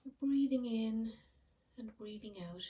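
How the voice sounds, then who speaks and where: A woman leading a guided mindfulness meditation, speaking slowly and softly with long pauses. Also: fairly high pitch, distant mic. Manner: slow, soft and soothing, with frequent pauses. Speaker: meditation teacher.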